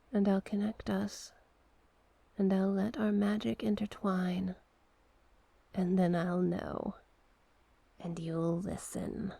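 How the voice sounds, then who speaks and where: A woman voicing a seductive, manipulative sea witch in an ASMR roleplay, coaxing hypnotically in a breathy, husky voice that often drops to a whisper. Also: lowish voice, mellow, no room sound, exaggerated voice. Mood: seductive, promising. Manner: slow, hypnotic, coaxing repetition.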